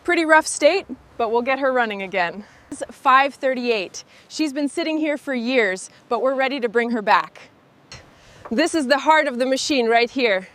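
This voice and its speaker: A woman's voice: high voice, lively intonation, normal speed, fairly bright tone, slight background noise. A woman hosting a vehicle restoration video, speaking short scripted commentary on the work as it goes.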